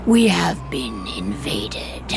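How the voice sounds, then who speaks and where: A proud werewolf chieftain in a game, low and very slow, with heavy gravel in a breathy voice. She is commanding and menacing, over background music. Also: sing-song, full character voice. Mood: stern, menacing. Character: menacing, proud female werewolf pack leader who distrusts humans. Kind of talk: video game cutscene acting.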